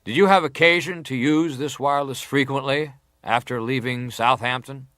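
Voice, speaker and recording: An actor playing an American senator who questions a witness formally, measured and insistent, in a dramatized hearing. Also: male voice, mid-range voice, steady tone, a bit bright, no room sound, studio quality, close to an everyday voice. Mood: formal, probing.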